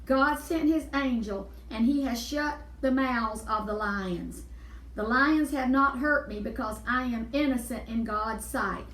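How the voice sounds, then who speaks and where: A woman's voice: a little high, unhurried, a bit bright, voice pushed up. A woman reading a children's Bible storybook as a faithful, innocent man, earnest and emphatic. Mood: earnest relief. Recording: echoey room, distant mic.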